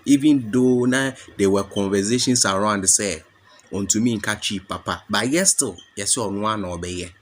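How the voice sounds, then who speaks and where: A man's voice: on the low side, normal speed. A Ghanaian man presenting a gossip channel, speaking to his viewers as family in English mixed with Twi. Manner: addresses viewers as family, explains and praises. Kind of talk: commentary mixing English and Twi.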